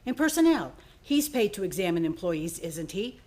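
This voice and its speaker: A woman acting an excitable, dramatic store employee in a radio play, exclamatory and gushing. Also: on the low side, normal speed. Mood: brisk, exasperated.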